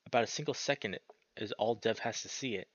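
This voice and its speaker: A man reading a visual novel aloud as expressive narration, breaking off into casual, sweary, slangy reactions. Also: lowish voice, brisk, lo-fi sound, slight background noise. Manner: animated, sweary, slangy interjections.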